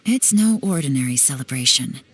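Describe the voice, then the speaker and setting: A woman's voice: extremely low pitch, expressive, a little fast, soft background music. A woman playing a cool, shrewd young female intelligence leader in a video game, composed, wry and commanding. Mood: coolly deducing.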